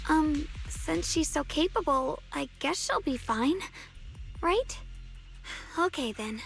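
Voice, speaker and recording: A woman's voice: high voice, expressive, slow pace, vocal fry, heavily acted. A woman voicing an earnest, eager young female swordfighter in an anime-style game, speaking expressively. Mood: worried, hesitant. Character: earnest, concerned young female swordfighter searching for her brother. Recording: loud background music under voice.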